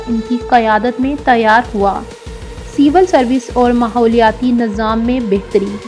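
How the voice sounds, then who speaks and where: A woman narrates a documentary in a fairly high voice. Her delivery is formal and measured, clearly read from a script, and soft music plays underneath. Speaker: documentary narrator and channel presenter.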